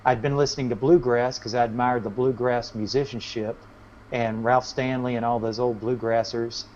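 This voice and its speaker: A man's voice: medium pitch, fairly even intonation, normal speed, a little mellow. A man speaking as a country musician in a casual interview, explaining things in a rambling way. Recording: muffled, lo-fi audio with faint noise.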